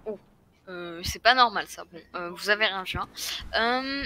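A woman's voice: mid-range voice, moderate pace, sharp tone. A woman talking casually in character as a player in an online role-play game.

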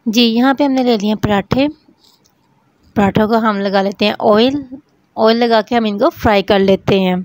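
Woman, pakistani urdu accent, step-by-step recipe voice-over, a bit high, very dry sound. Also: moderate pace, dry recording. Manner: calm, repeats each step. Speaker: cooking channel host.

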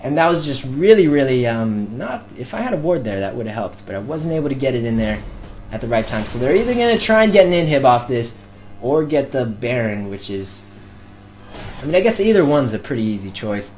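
Excitable young man calling his game. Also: medium pitch, brisk, faint music behind. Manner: excitable, bursts into shouts during fights. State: losing his voice. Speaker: gameplay commentator. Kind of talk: live gameplay commentary.

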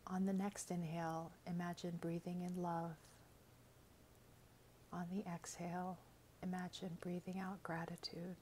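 A woman reading a scripted guided meditation in a gentle, soothing, measured way, with soft, breathy phrase endings. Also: on the low side, little pitch movement, slight background noise, rough recording.

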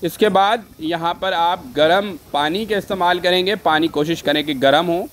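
A man narrates recipe steps as a food vlogger. His voice sits a little high, and faint kitchen noise runs behind it. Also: normal speed, a bit bright. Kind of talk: instructional recipe voice-over.

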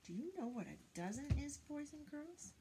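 High, animated older woman reading to children. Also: moderate pace, noisy background. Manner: sing-song, questioning, addressing small children. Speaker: read-aloud teacher for young children. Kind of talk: children's read-aloud and teaching. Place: small indoor room lined with bookshelves.

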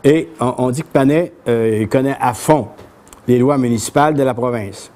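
A man's voice: normal speed, mellow. An older man with a Québécois accent giving a history lecture to a small audience in a café, reading from a tablet in a plain, conversational way. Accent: Québécois French. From Quebec. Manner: plain conversational telling, reads quoted speeches with lifted pitch. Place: small bookshop café with brick walls, before an audience.

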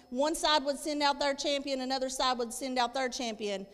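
A woman in her thirties preaching a sermon, reading scripture and explaining it emphatically, repeating phrases for weight. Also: fairly high pitch. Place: church stage indoors, at a lectern. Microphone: handheld microphone. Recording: echoey hall with long reverb.

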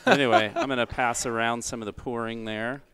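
A man's voice: medium pitch, fairly even intonation, brisk, sharp tone, clear, resonant tone. A man hosting a podcast in a casual, chatty and jokey way. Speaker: podcast host.

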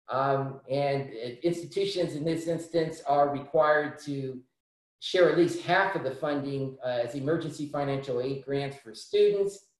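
A man's voice: a little high, a little mellow. Echoey video-call man explaining policy. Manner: measured, explanatory, frequent restarts and fillers. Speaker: policy speaker. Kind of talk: virtual town hall briefing. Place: home room, indoors. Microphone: webcam on a video call. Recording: very echoey room.

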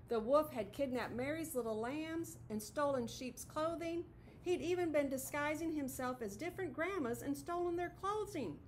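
A woman's voice: high voice, slight background noise, low-quality recording. A middle-aged woman teacher reading a picture book aloud expressively to children, recorded in a classroom. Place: classroom, indoors.

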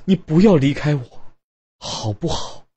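A man's voice: on the high side, expressive, measured pace, mellow, slightly rough, voice pushed up. A man voicing a distraught young wife who pleads through tears with her husband in a storybook reading. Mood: pleading. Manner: tearful, pleading.

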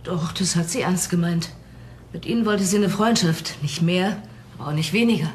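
A woman's voice: on the low side, moderate pace, a little mellow, a little hiss or hum, natural voice. A woman acting a composed, direct police detective in a crime radio play, asking pointed questions. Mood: firm.

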